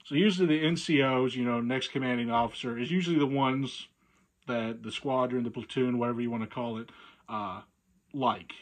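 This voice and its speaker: A man casually telling a humorous anecdote, animated and amused by his own tale. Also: mid-range voice, fairly quick, poor audio. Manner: animated, acts out dialogue, chuckles at own story. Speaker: storyteller.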